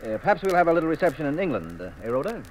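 An actor playing a skeptical, wisecracking private detective in a 1950s radio drama. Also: male voice, fairly high pitch, big pitch swings, very fast, rough recording. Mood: improvised geniality. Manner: wry, hesitant improvising. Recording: old radio recording, faint noise.

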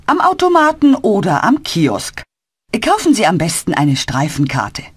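A woman's voice: on the low side, wildly swooping pitch, a little slow, slightly rough, no echo, heavily acted. A woman voicing a helpful adult woman who gives short answers in a scripted learner dialogue.

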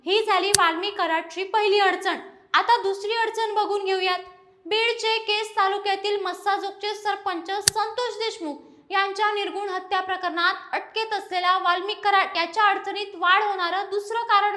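A woman's voice: very high voice, bright, forceful tone. A woman presenting a scripted news explainer.

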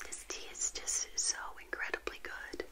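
A middle-aged woman whispering gently and intimately in an ASMR roleplay as a friendly witch hostess, close to the mic. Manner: gentle, unhurried, intimate personal attention. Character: friendly witch hosting a tea party. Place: small indoor set, seated. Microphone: close to the mic, facing the camera.

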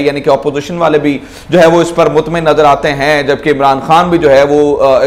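Pakistani guy doing informal news commentary, voice a bit high, explains things and drops in english words. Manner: explanatory, with rhetorical questions and English words mixed in. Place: indoor room in front of wooden doors.

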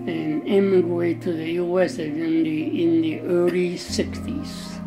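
Older woman from Taiwan, slow and low. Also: steady tone, rough recording. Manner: halting, searching for words. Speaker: interviewee. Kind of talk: documentary interview. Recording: music bed underneath.

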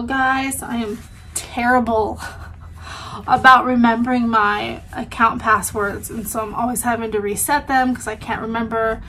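A woman's voice: fairly high pitch, bright, forceful tone, a little hiss or hum. Stuffy-sounding woman vlogging from home. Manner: chatty, rambling, with frequent "um" and "like". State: stuffy and congested with a sore throat. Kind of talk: casual chat. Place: small home bedroom, indoors. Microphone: camera in front at close range.

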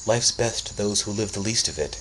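A man voicing Uncle Einar, an old winged vampire who consoles his nephew gently and wearily. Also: on the low side, fairly quick, noisy background, natural voice. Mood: consoling. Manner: gentle, consoling, world-weary.

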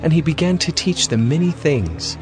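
A man narrating dramatized scripture as a scripted story, in a measured, solemn manner. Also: fairly high pitch, very animated, music in the background.

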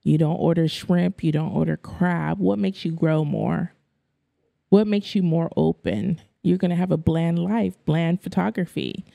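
A woman in her thirties, raised in the Philippines with Tagalog as her first language, talks frankly as a podcast guest. Her voice is dark and gravelly with fry, recorded close and dry on a studio mic. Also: on the low side, fairly even intonation, no echo. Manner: direct, matter-of-fact, quick laughs. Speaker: guest photographer. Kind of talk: casual interview chat. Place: bright studio room. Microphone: studio mic on boom arm, headphones.

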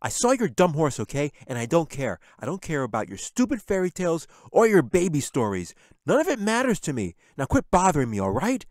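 A man voicing a rude, pig-headed young boy in an audiobook, snapping and yelling meanly. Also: on the high side, huge pitch swings, brisk, few pauses, dry recording, studio quality, voice pushed up. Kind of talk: audiobook character reading.

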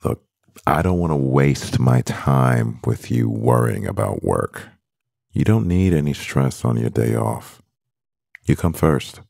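A man playing a stressed, devoted CEO boyfriend in an intimate ASMR roleplay, murmuring with a husky, gravelly voice and pauses. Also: a bass voice, animated, a little mellow, dry recording, studio quality, full character voice. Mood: weary, tender.

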